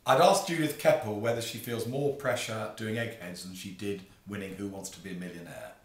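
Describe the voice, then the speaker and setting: A man's voice: lowish voice, a little fast. A man in his late fifties giving plain, matter-of-fact answers as a quiz contestant. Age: 50s. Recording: echoey room, mic far off.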